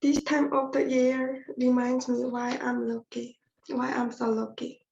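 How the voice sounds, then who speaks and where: Slow, creaky young woman. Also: high-pitched, little pitch movement, a little mellow, slight background noise. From North Korea. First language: Korean. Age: 20s. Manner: measured and earnest, read from a prepared text. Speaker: invited speaker. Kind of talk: prepared personal testimony speech. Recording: echoey dull lo-fi audio.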